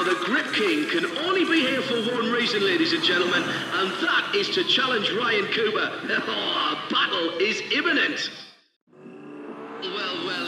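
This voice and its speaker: High-pitched, excitable race announcer. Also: male voice, far from the mic. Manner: showman's hype, drawn-out exclamations. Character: excitable male race-day announcer hyping the crowd. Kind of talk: event commentary over a PA. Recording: echoing PA under loud music.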